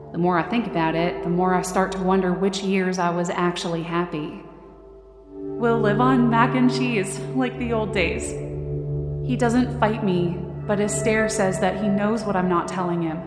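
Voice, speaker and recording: A woman performing a frightened, determined young woman who narrates her own survival story in intense, emotive, deliberate short phrases. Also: medium pitch, music in the background, lightly acted. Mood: subdued, wistful. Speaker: writer and performer of a fiction podcast. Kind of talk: dramatic first-person scripted narration.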